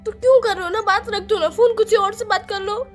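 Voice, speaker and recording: A woman's voice: very high-pitched, fairly even intonation, fairly quick, soft background music. A young woman acting a sulky, stubborn girlfriend in a phone-call skit, tearful and snappy. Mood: angry, tearful. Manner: petulant, snappy, curt refusals. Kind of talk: acted romantic phone-call skit in Hindi.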